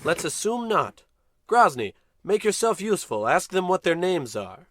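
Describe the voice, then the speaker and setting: An actor playing a pompous, dim-witted, bossy American spaceship captain in a comedic old-style radio play. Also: male voice, high-pitched, big pitch swings, moderate pace, a bit bright, slight background noise. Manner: pompous, bossy, self-important.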